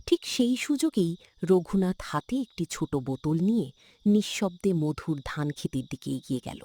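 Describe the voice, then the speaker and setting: A woman narrator telling a scripted cartoon story in a dramatic, expressive way. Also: on the low side, slight background noise.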